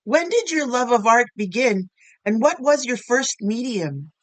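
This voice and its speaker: A woman hosting a radio show, introducing her guest and asking clear, plain interview questions. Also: on the high side, big pitch swings, unhurried, fairly bright tone, no echo. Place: radio studio.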